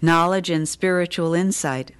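Calm mystical young woman student, voiced by an actress, low voice, assured, radio drama. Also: moderate pace, clear, resonant tone, natural voice.

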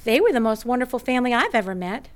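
A woman's voice: fairly high pitch, very animated, fast talker. An actress playing a wounded, defiant eleven-year-old girl in a radio drama, blunt and protesting. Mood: guarded, wistful.

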